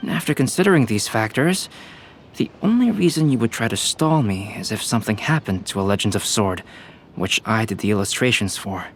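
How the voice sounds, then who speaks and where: A man playing a calm, courteous young illustrator in a video game, speaking in a measured and formal way. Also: on the low side, a little hiss or hum, lightly acted. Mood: analytical.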